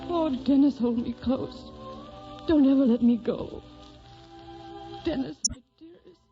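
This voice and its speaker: A woman's voice: sits high, measured pace. An actress playing a tender, sorrowfully resigned young woman in love, in earnest, restrained old-time radio melodrama. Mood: anguished love. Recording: dull vintage radio recording with music.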